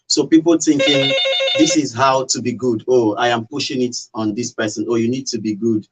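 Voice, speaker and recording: A man's voice: medium pitch, steady tone, normal speed, rough recording. A Nigerian man talking as a radio show guest, explaining his view conversationally and checking with tag questions.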